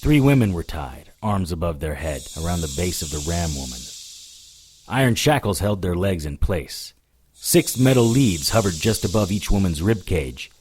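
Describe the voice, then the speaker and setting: A man's voice: low voice, sing-song, normal speed, a little mellow, slight background noise. A man playing a determined, hard-boiled witch hunter, narrating an audio drama in the first person. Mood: tense, narrating. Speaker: lead character and narrator.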